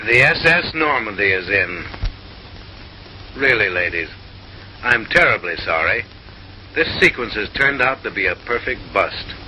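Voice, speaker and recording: A man's voice: on the low side, sing-song, measured pace, long pauses, pushed and bright, a little hiss or hum, poor audio. A man narrating in a mid-century comic newsreel style, with an arch, mock-refined, theatrical delivery, on a vintage film soundtrack.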